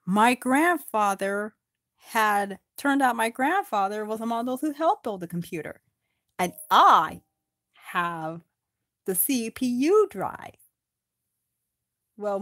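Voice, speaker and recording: A woman's voice: on the high side, expressive, slow, pauses often, fairly bright tone, no room sound, studio quality, exaggerated voice. A woman voicing an earnest, matter-of-fact man from a lake town.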